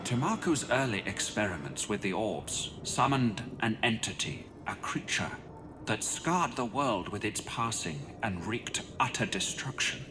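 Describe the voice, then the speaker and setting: An actor playing a remorseful alchemist in a horror game, delivering a solemn, formal record in grave, deliberate phrases. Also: male voice, fairly low pitch, expressive, background noise. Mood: grave, resolved. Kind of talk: solemn dramatic game monologue.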